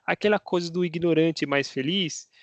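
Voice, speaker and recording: A Brazilian-accented man with a somewhat high, gravelly voice talks casually to his viewers as a language teacher. He explains in a chatty way, posing rhetorical questions and checking in with the listener. The sound is close and dry, with no room around it. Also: normal speed, no room sound. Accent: Brazilian Portuguese. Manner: conversational, asks himself rhetorical questions, tags lines with "né?". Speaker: Spanish teacher.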